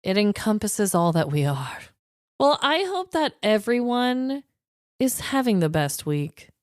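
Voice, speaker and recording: A woman co-hosting a podcast in casual comedic chat, chatty and expressive in an informal way, close on the microphone. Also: mid-range voice, a little slow, no room sound. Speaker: podcast co-host.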